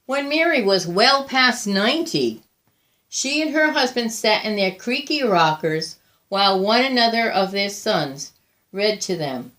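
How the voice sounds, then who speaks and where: A woman reading a picture book aloud as a storyteller, deliberate and expressive, with frequent pauses. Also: mid-range voice. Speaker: volunteer storybook reader.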